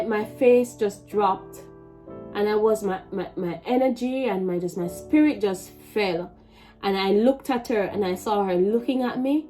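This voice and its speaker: A young woman with a Jamaican accent telling a personal story in a vlog, animated and emphatic, with many restarts. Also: medium pitch. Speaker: vlogger.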